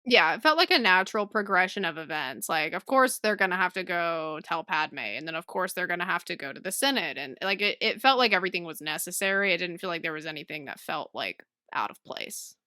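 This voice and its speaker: A woman's voice: fairly low pitch, animated, brisk, few pauses, sharp tone, dry recording, studio quality. A woman co-hosting a podcast, talking informally and thinking aloud in a book club chat. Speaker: podcast co-host.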